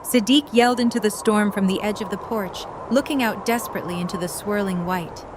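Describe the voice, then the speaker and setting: A woman's voice: medium pitch, fairly quick. A woman reading scripted story narration for an audio drama. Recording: noisy storm ambience behind.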